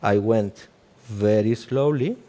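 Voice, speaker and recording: A man with a Cuban Spanish accent, a native Spanish speaker, giving a live demonstration as a doctor in halting English and checking in with "okay?" after almost every step. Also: fairly low pitch, warm tone. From Cuba.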